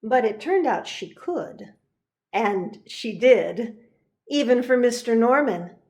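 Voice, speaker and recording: A woman narrating a children's picture book, expressive and deliberate, pausing for emphasis. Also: a little high. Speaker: reverend reading a picture book.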